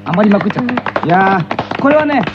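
A man's voice: sits high, very animated, a little fast, very noisy. A man guesting on a radio show, chatting playfully and clowning with mock-pleading banter. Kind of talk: playful casual radio chat. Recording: dull lo-fi radio recording, music behind.

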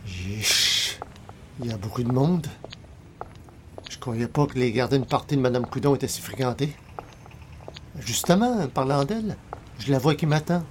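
A man's voice: mid-range voice, expressive, normal speed, pauses often, noisy background, lightly acted. Wry, hesitant Quebec everyman, played by a man. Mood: polite, awkward. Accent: Quebec French. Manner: hesitant, colloquial, sardonic asides. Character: everyman newspaper columnist, polite but wryly grumbling guest. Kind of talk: old-time radio comedy acting.

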